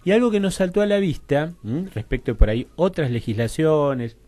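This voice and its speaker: A man with an Argentine accent giving an academic explanation as a radio guest, halting and didactic, often checking that he is followed. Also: a little high, very animated, mellow. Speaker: interview guest, university teacher and researcher.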